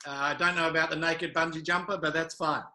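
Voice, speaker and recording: A man's voice: a little high, fairly even intonation, quick pace, bright, forceful tone. A New Zealand man in his fifties, giving short, dry, good-humoured interview answers with hesitant fillers. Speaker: interview guest, travel sales manager.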